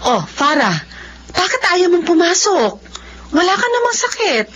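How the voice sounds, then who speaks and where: A woman playing a caring, hardworking Filipino mother in a radio drama, speaking gently and politely with expression. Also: extremely high pitch, very animated, measured pace, faint music behind, heavily acted. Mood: concerned. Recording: dull lo-fi audio, faint noise.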